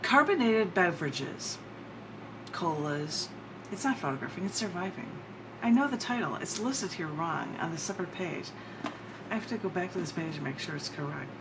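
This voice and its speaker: A woman poet giving a live reading of her work, chatting in between and pausing deliberately for drama. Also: on the low side, lively intonation. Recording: clearly noisy recording.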